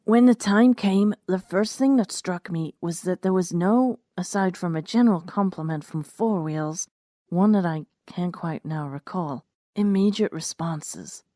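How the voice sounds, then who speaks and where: A woman reads a first-person story as its narrator. Her voice sits fairly low for a woman, and the recording is close and dry, with no room sound. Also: unhurried, warm tone, no room sound. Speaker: narrator and host of a fan fiction reading series.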